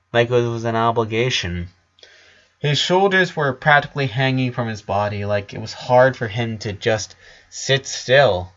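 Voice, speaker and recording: A man's voice: medium pitch, fairly bright tone. Man narrating a story, slightly dull sound. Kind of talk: amateur audiobook narration.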